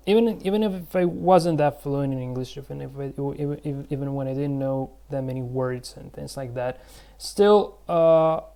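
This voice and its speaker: Male, Russian accent, young adult, slightly high voice, mellow dark tone, halting speech full of fillers and restarts. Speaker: language learner explaining his method. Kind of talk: unscripted talk to camera.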